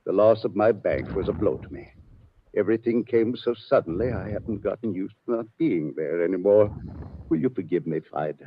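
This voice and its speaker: Courteous, dejected old gentleman banker man acting, radio play: low voice, expressive swings, muffled recording. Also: normal speed, a little hiss or hum, close to an everyday voice. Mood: sad, weary. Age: older. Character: dejected, courteous old male former bank president. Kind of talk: 1940s radio drama acting.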